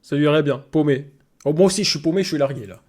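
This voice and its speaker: A man's voice: on the high side, racing, a little mellow. A man giving casual, joking reaction commentary as a streamer, often repeating himself.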